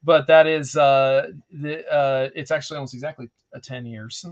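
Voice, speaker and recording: Casual male artist host, thirties, jokey and rambling, mid-pitched, slightly dull stream sound. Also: steady tone, moderate pace. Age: 30s. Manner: jokey, rambling, playful exclamations. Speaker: artist hosting an art livestream. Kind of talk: casual livestream chat while drawing.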